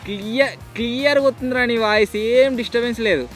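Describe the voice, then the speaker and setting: Male vlog host, Telangana Telugu accent, very quick informal delivery, high voice. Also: fairly bright tone, faint music behind. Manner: informal, slangy, bantering. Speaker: video host. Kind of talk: casual vlog chat.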